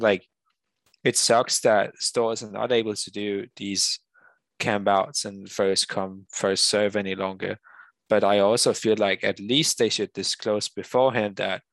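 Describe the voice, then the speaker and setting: A man speaks in a fairly low voice with a very flat, even intonation. He chats casually and reflectively, rambling a little as he reminisces. The sound is dry and close. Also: no echo, rough recording. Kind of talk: casual conversation.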